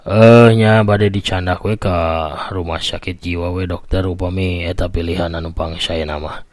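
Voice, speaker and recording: Anxious male hospital visitor for audio story, done by a male storyteller: Sundanese, deep, deferential, hesitant, dry sound. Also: steady tone, moderate pace, darkish tone, no echo, natural voice. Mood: resigned, deferential. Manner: hesitant, respectful questioning. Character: worried, deferential man at a hospital.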